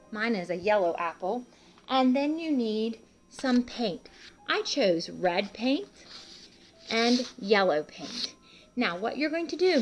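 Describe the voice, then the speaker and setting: A woman's voice: fairly high pitch, fairly bright tone. Woman reading aloud to children over music. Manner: instructive, addresses young children directly, pauses to explain. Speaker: children's read-aloud host and art teacher. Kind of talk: picture-book read-aloud with explanatory asides for children.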